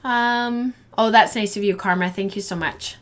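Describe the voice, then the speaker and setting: A woman hosts a live fiber arts stream, talking casually to her viewers. She rambles a little, with frequent ums and false starts. Her voice sounds tired and a bit hoarse. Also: medium pitch, fairly even intonation, normal speed. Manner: chatty, rambling, lots of ums and restarts. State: losing her voice. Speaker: podcast host of a fiber arts show.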